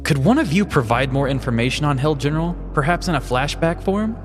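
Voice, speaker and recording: A man's voice: on the high side, expressive, brisk, soft background music, pitched above the performer's own voice, close to an everyday voice. A man voicing a skeptical thirteen-year-old boy in a comic story. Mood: puzzled.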